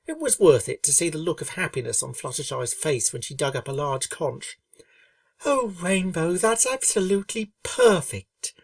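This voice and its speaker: A woman narrating a storybook tale in a steady, expressive reading voice. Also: very deep voice, brisk, creaky, few pauses, no echo, recorded from a distance. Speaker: reader.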